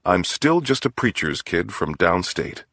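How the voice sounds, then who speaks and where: Audiobook role, read by a man: pious, folksy family man and preacher's son, very low and husky, smoothly self-righteous. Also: very animated, measured pace, a bit bright, no room sound, heavily acted. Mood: folksy modesty.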